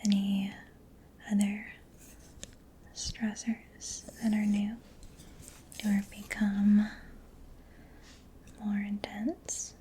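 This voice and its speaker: Slow, whispering woman in soft ASMR roleplay. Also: mid-range voice, monotone, slight background noise. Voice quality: breathy, mostly whispered. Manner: gentle, unhurried, reassuring. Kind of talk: soft-spoken ASMR roleplay as a mechanic examining a client.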